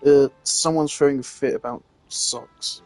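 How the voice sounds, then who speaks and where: A man's voice: mid-range voice, fairly even intonation, measured pace, darkish tone, faint music behind, low-quality recording. Man casually commentating over a game. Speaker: game streamer.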